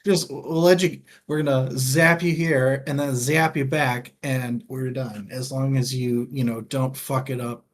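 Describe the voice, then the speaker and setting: Male co-host for a casual book talk, mid-pitched, halting, thinking out loud. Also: fairly even intonation, a little hiss or hum. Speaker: co-host of a book discussion show.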